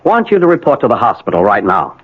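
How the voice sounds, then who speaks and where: An actor playing a brisk, bossy doctor, blunt and authoritative, in a radio drama. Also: male voice, lowish voice, wildly swooping pitch, breathy edge, heavily acted. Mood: brusque. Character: brisk, bossy male doctor and old friend. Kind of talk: 1980 radio drama acting. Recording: muffled old radio recording.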